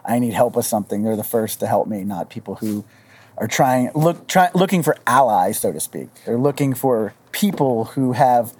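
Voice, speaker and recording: A woman's voice: very deep voice, lively intonation, normal speed, darkish tone, husky. A woman chatting casually in a group discussion, informal and correcting herself as she goes.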